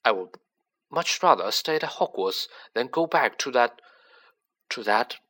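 A nervous teenage orphan boy in a storybook, voiced by a man, polite and hesitant. His speech is earnest and deferential, with a forward, bright tone. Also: medium pitch, lively intonation, unhurried. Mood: urgent, pleading.

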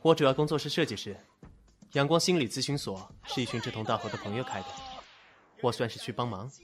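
A soft-spoken young male designer with a gently teasing way of talking, as a man acts him out. He sounds easygoing and unhurried in this audio drama. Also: mid-range voice, lightly acted. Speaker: lead character.

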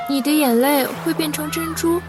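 A woman's voice: sits high, moderate pace, darkish tone, soft background music, lightly acted. A woman playing an innocent, tender young girl in love in an audio drama, heard from a distant mic. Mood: earnest.

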